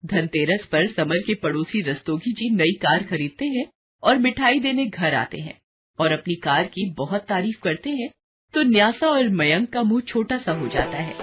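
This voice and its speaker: A woman narrating a scripted children's horror story as an expressive storyteller. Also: medium pitch, moderate pace, phone-quality sound. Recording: loud music under the voice.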